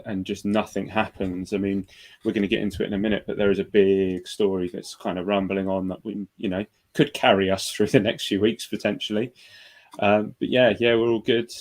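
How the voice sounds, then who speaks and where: A man's voice: on the low side, darkish tone. A man on a podcast, easygoing and rambling in casual chat. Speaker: podcast producer.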